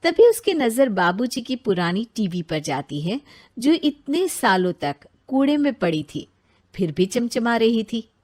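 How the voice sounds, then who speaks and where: A woman's voice: on the high side, big pitch swings, a little slow, a little mellow. A woman narrating a scripted children's story.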